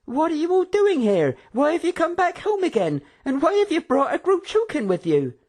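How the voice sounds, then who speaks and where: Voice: high voice, big pitch swings, normal speed, a little mellow, voice pushed up. A reader voicing a motherly, hospitable hostess who fusses and chatters. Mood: bewildered. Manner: fussy, chatty. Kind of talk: audiobook character voice.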